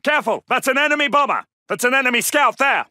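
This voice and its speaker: High-pitched, urgent soldier. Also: male voice, animated, fast talker, sharp tone, dry recording, clean studio sound, heavily acted. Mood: urgent, alert. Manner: clipped, urgent, military. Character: alert, gruff British male Second World War soldier. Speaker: video game voice actor. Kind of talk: shouted combat callouts.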